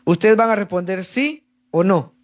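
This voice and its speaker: A man's voice: sits high, normal speed. A man preaching a sermon to a congregation, reading verses and explaining them, calling for answers. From Central America. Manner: reads scripture then explains, asks congregation for answers.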